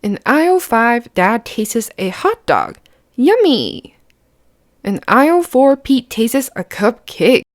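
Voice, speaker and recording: A woman's voice: fairly high pitch, very animated, slow, no room sound, clean studio sound. A woman reading a picture book aloud to children, explaining simply and putting questions to the listener. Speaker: children's read-aloud host.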